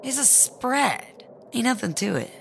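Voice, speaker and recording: A woman playing a weary, drugged-up long-term prisoner in a comedy audio drama, groggy and spaced-out with a gravelly creak. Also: on the high side, big pitch swings, measured pace, a bit bright, a little hiss or hum, exaggerated voice. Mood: spaced-out, wistful.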